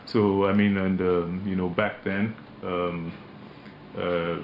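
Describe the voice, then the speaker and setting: Man w a super deep voice, talks slow with lots of uhs, echoey muffled studio, noisy. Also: flat delivery, over a noisy crowd. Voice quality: deep, imposing voice. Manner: measured, hesitant with many fillers. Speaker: managing director interviewed as guest. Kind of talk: radio interview, relaxed business talk. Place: radio studio.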